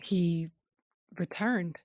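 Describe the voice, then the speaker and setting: A woman's voice: on the low side, drawn-out words, a little mellow, dry recording, clean studio sound. A woman playing an earnest, apologetic young quester in a naturalistic audio drama, hesitant and sincere. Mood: wistful, curious.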